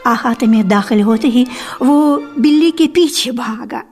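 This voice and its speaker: A woman narrating a radio drama in an expressive, deliberate, literary storytelling style. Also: a little high. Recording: archival radio recording with music.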